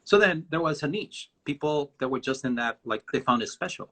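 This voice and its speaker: A man's voice: fairly high pitch, animated, brisk, a bit bright. A Mexican man, a native Spanish speaker with a Mexican accent, answering interview questions in a reflective, rambling way with many restarts. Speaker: guest designer. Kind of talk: live-streamed interview answers.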